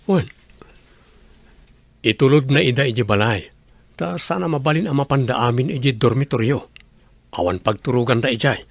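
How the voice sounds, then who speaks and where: A man's voice: mid-range voice, moderate pace, creaky, pauses often, no echo. A man playing a humble, earnest young working man in a radio drama. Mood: matter-of-fact.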